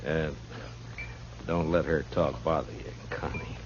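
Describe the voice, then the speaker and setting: Tired dry american marshal, deep voice, old radio western, hissy and dull. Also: male voice, steady tone, a little slow. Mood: guarded, low-key. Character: world-weary, blunt frontier marshal with dry humor. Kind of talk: 1950s radio western acting. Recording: muffled, noisy early 1950s radio recording.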